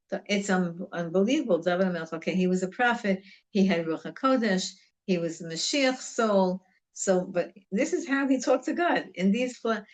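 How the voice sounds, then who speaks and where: A woman gives a relaxed religious class, speaking at an ordinary middle pitch. Her talk is chatty and wandering, with Hebrew words woven into her English. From United States. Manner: conversational and digressive, mixing in Hebrew terms. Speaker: religious teacher. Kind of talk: informal Torah class on Psalms.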